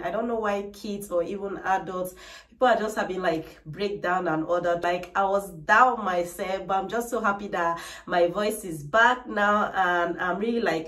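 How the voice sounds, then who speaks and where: Woman vlogger, 30s, casual chatty talk to camera, slightly distant and echoey camera audio. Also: mid-range voice. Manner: chatty, frequent "like" and "trust me". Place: bright decorated room indoors. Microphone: camera mic, no microphone. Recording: echoey room, voice a little distant.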